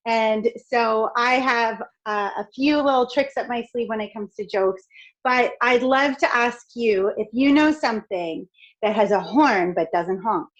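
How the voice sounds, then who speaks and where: A middle-aged woman from Canada with a raspy, crackly voice, talking in an outgoing, expressive way as a podcast guest. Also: a little high, unhurried, lo-fi sound. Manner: outgoing, expressive, laughs often. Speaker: podcast guest, speaker and author. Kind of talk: upbeat conversational interview.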